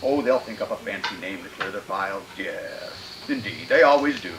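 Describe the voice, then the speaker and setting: A sinister old murderer in a radio thriller, with a voice that swoops widely in pitch. He is theatrical and menacing, able to turn from gloating to frantic pleading. The sound is roomy, like a live stage, with faint noise behind. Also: animated, moderate pace. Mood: gloating menace. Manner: menacing, theatrical, repeats phrases. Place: playhouse stage, live performance.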